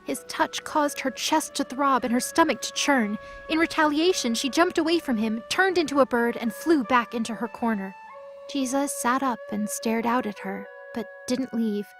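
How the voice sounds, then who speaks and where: A woman's voice: high voice, normal speed. A woman narrating a scripted audio drama as a storyteller. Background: music.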